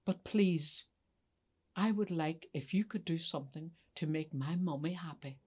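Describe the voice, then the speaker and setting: A woman's voice: low voice, unhurried, darkish tone, husky, muffled recording. A woman voicing a polite, earnest little boy who pleads with emphatic repetition in a children's story. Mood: earnest, pleading.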